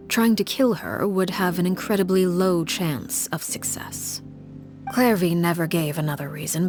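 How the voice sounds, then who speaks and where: An actress playing a grave, composed woman who recounts a tragic tale in measured, hushed phrases. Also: fairly low pitch, close to an everyday voice. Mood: somber. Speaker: storytelling game character. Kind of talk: scripted dramatic narration. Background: game music.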